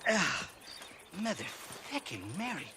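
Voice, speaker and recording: An actor playing a drunken, shifty Irish rogue in a video game, whining and wheedling with an Irish accent. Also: male voice, sits high, sing-song, unhurried, noisy background. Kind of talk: video game cutscene acting.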